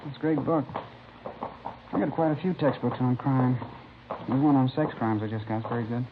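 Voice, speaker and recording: A man playing an American high school boy, nervous and eager, with a medium-pitched voice. He is chatty and over-curious, with hesitant phrasing, in an old radio crime drama. The recording is very narrow and clearly noisy. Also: brisk, natural voice. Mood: eager, fascinated. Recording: scratchy, band-limited vintage radio recording.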